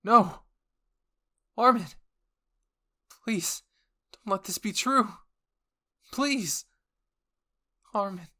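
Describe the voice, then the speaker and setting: A man's voice: high voice, animated, extremely slow, pauses often, bright, forceful tone, pitched above the performer's own voice, heavily acted. A man voicing an anguished young soldier in an audio roleplay, pleading desperately with emotional outbursts. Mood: anguished grief. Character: anguished young soldier screaming inner pleas for a friend.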